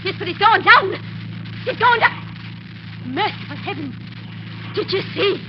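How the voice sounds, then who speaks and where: An actress playing a shocked nurse in 1940s radio drama style, on an old noisy broadcast recording. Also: female voice, very high voice, expressive, unhurried, muffled recording. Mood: horrified. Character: shocked nurse witnessing a drowning.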